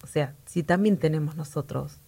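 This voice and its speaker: Woman, lowish gravelly voice, keeps saying yes and agreeing. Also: normal speed, warm tone. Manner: agreeable, frequent affirmations. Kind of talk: casual radio chat.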